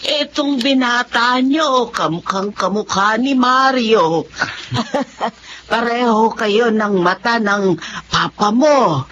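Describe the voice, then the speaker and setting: An actress playing a tender, emotional older aunt in a Filipino radio drama. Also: female voice, a little high, slow pace, fairly bright tone. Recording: dull sound with faint noise.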